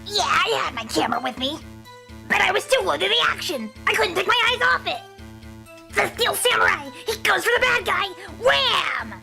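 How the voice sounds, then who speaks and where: Woman voicing a brash, high-pitched little boy. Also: expressive, normal speed, gravelly, bright, forceful tone, faint music behind, full character voice. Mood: excited. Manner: cheeky, slangy, stammers when cornered. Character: brash, excitable young boy witness, hero-worshipping kid. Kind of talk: fan dub courtroom game acting.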